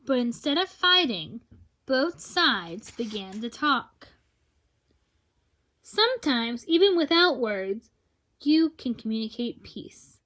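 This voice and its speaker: A woman reading a children's picture book aloud in an expressive storytelling style, drawing out words for emphasis. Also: a little high, slow pace, long pauses.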